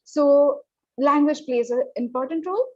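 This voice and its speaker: A woman with a high voice answers interview questions emphatically, doubling her words for stress. The sound is dry and a little muffled. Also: normal speed, no echo. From Northeast India. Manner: emphatic, repeats words, tags "okay?". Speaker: guest, corporate professional.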